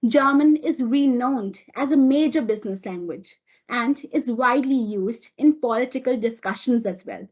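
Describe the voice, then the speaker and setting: Husky-voiced schoolgirl giving a formal speech. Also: on the high side, normal speed, a little mellow. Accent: Indian English. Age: teenager. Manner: formal, recited, bilingual greeting. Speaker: student speaker. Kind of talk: prepared speech read aloud. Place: school auditorium. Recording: dull lo-fi audio.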